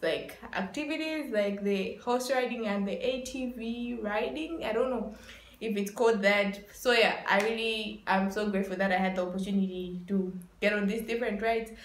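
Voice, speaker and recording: A woman's voice: medium pitch, steady tone, normal speed. A woman in her twenties narrating a casual vlog in a chatty way, leaning on repeated words for emphasis, recorded indoors in a small room. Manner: chatty, repetitive emphasis with frequent "like". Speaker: vlogger. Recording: roomy echo.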